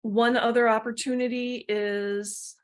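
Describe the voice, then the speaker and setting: A woman's voice: a little high, flat delivery, measured pace. A woman trainer talking informally in an online workshop, explaining and offering practical suggestions as she answers questions.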